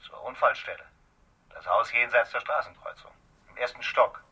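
An actor playing an urgent, secretive and triumphant lawyer on the telephone in a German radio drama. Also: male voice, mid-range voice, moderate pace, sharp tone, breathy edge.

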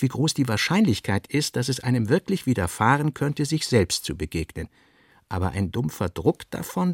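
A man reading essayistic literary prose for radio with a measured, deliberate delivery. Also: mid-range voice, creaky, husky, no room sound, studio quality. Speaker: narrator.

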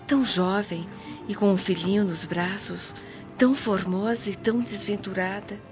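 An actress playing a kindly, pious, humble Roman washerwoman in a radio drama, gentle and motherly. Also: mid-range voice, steady tone, normal speed, close to an everyday voice. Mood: tender, consoling. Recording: dull lo-fi audio with music behind.

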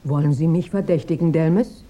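A woman playing a cool, guarded femme fatale in a German radio crime drama, with a soft, dark tone. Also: deep voice, normal speed. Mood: challenging.

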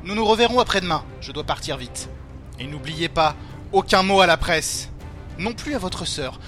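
Pompous police prefect, sharp forceful tone, curt, dismissive, impatient, radio play, faint music. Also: male voice, high voice, lively intonation, moderate pace. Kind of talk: French audio drama acting.

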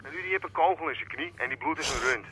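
A man's voice: on the high side, fast, lightly acted, poor audio. A man playing a blunt, stubborn henchman in a Dutch radio drama. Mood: blunt, rattled. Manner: matter-of-fact, stubborn. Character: blunt, defiant male henchman.

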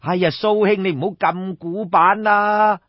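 A man's voice: high-pitched, fairly even intonation, a little slow, dry recording, muffled recording. A man with a Cantonese accent voicing a brash, crafty young schemer, exclamatory and coaxing, in a storybook tale. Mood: coaxing, insistent.

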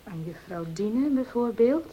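An actress playing a cheeky, down-to-earth kitchen maid, deferential but pert, in a radio drama. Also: female voice, fairly high pitch, lively intonation, a little slow, slightly rough, lo-fi sound. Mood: pert, dry.

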